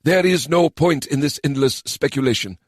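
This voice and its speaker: Brief: male narrator voicing a composed elf lawmaker pushed to anger, stern and loud, pitched high. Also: normal speed, no echo, studio quality, close to an everyday voice. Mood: frustrated. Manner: stern, raised voice. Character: normally calm male elven minister of law.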